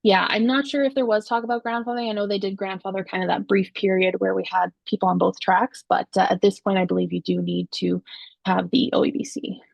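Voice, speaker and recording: A woman's voice: mid-range voice, little pitch movement, brisk, vocal fry, no echo, muffled recording. A young woman, an optometrist and exam board member from Canada, answering students' questions on a video call in a friendly, informal way. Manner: friendly, informal, with laughs and fillers.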